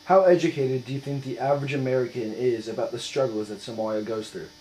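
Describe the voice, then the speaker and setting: A man with a mid-pitched voice asks interview questions from a script. His delivery is formal and polite. The room is very echoey, and a low electrical hum runs under the recording. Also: steady tone, quick pace, warm tone.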